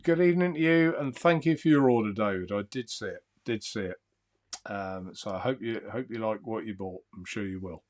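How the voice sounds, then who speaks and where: A man hosting a live stream, chatting in a calm, soothing, easygoing way and reading out viewer comments. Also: mid-range voice. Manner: rambling, easygoing, chuckles often. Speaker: livestream host.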